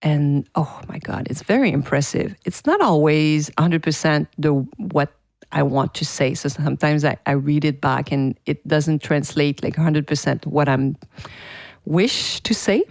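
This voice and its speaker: A woman's voice: low-pitched, measured pace, a little mellow, dry recording, clean studio sound. A woman with a French accent hosting her own podcast, talking to listeners in an enthusiastic, chatty way. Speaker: podcast host and PR teacher. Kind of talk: casual solo podcast talk.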